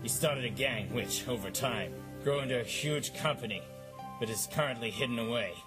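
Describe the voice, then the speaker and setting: An actor playing a pompous, self-important, dim detective, melodramatic in a 1950s-style radio drama. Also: male voice, medium pitch, normal speed, loud music behind the voice, lightly acted. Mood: indignant.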